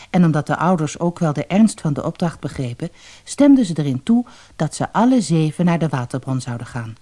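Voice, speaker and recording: A storyteller narrating a fairy-tale audiobook in an expressive way. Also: mid-range voice. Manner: expressive storytelling, acting out dialogue.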